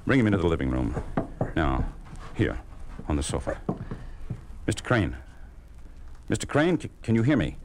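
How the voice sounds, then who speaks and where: A man's voice: low-pitched, very animated, moderate pace, darkish tone. An actor playing a blunt, principled male surgeon with clipped, commanding delivery in a 1950s radio drama. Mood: urgent, caring. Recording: noisy old 1950s radio recording.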